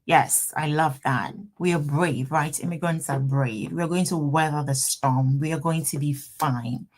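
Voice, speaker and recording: A woman's voice: deep voice, unhurried. Upbeat Ghanaian woman hosting a livestream. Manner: upbeat, repetitive, greeting viewers by name and place. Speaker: immigration attorney hosting a live Q&A. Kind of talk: casual livestream chat. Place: her office.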